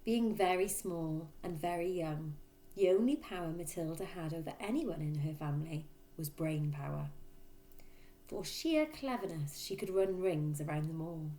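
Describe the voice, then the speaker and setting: A woman reading a children's book aloud, in expressive, emphatic storyteller narration. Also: low-pitched, moderate pace. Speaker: storybook reader, teacher.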